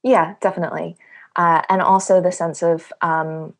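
Low-voiced hesitant New woman. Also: little pitch movement, moderate pace. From New York. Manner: hesitant, many fillers, laughs often. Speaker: playwright. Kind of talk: podcast interview, thoughtful.

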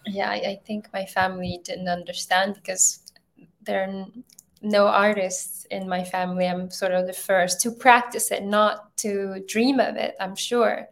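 A woman in her thirties from Ethiopia, talking in a thoughtful, halting way with many restarts, as an interview guest on a video call. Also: mid-range voice, little pitch movement, creaky. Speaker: filmmaker guest.

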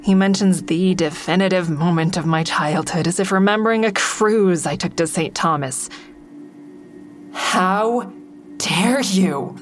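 An actress plays a sardonic woman comedian in an audio drama, with a low-pitched voice. She is guarded and defensive, narrating her private thoughts in a wry, confessional way. Faint music runs underneath. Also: measured pace, close to an everyday voice. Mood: wounded. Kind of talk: full-cast audio drama acting with first-person narration.

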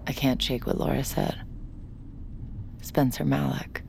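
An actress playing a troubled, weary woman with a husky, gravelly voice, brooding and confessional in an intimate audio drama. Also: a bass voice, level tone, unhurried, darkish tone, a little hiss or hum, exaggerated voice. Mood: brooding, haunted. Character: troubled, weary, suspicious woman, a relapsed addict.

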